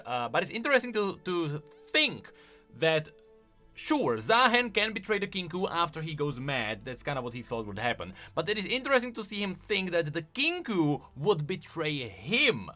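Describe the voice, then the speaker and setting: Animated, bright, higher-pitched male commentator. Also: measured pace, soft background music. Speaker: video host, game lore commentator. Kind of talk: off-the-cuff explanatory commentary.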